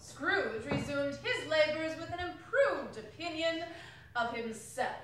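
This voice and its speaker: A woman's voice: high voice, lively intonation, slow. A woman narrating a classic story on stage in a theatrical dramatic reading. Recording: very echoey hall, distant mic, faint noise.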